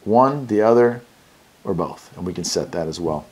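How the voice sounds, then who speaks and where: A man's voice: low-pitched, animated, normal speed, a little mellow, husky. An American man hosting a casual product unboxing and review, talking in a folksy, enthusiastic way. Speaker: radio review host.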